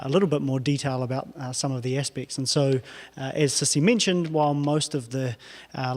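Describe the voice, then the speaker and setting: A man from New Zealand with a New Zealand accent, giving a technical lecture to an audience, pausing often with "uh" fillers. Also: medium pitch. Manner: halting, frequent "uh" fillers. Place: lecture hall. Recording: long hall reverb.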